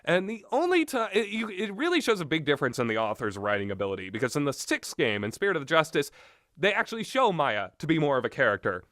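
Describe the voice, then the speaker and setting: A man's voice: a little high, very animated, a bit bright, no room sound, studio quality. Blunt, rambling man with opinions. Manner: blunt, exasperated, sweary, halting. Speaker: video game reviewer. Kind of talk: rambling, opinionated casual commentary.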